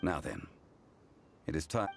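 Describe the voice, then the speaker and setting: An actor playing an ancient god in mortal guise for a video game, calm, solemn and erudite, speaking in measured, formal phrases. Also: male voice, very deep voice, creaky, a little hiss or hum, exaggerated voice. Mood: solemn, reflective. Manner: measured, formal, grave storytelling. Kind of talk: English video game voice acting. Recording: studio voice over game music.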